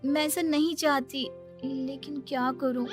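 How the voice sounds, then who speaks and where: A woman's voice: high-pitched, steady tone, a little slow, over music, voice pushed up. A woman voicing a naive, blunt, truthful young housewife in a cartoon story, with an innocent, apologetic manner.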